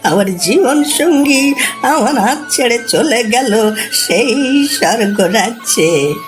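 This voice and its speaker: An older village uncle, voiced by a woman, gentle and full of sorrow, speaks slowly with wide swings of pitch. Prominent music runs behind the cartoon storytelling. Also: animated. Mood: grieving.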